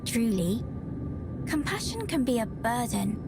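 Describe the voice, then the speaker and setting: A solemn ghostly woman speaks in slow riddles, her voice pitched a little high. Loud game music swells behind her. She sounds aloof and otherworldly. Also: very animated. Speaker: game character.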